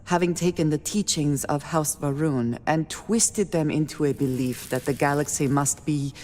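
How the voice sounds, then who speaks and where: Actress playing guarded woman explorer, very low voice, formal measured phrasing, steely calm, long reverb, game cutscene. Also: a little hiss or hum, close to an everyday voice. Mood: guarded, stern. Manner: formal, measured speech without contractions. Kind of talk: video game cutscene acting.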